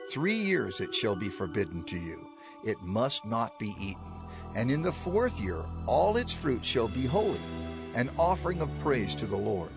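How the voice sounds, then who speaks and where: A man gives a solemn, emphatic, declamatory reading in a dramatized scripture narration. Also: medium pitch. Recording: music under the voice. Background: music.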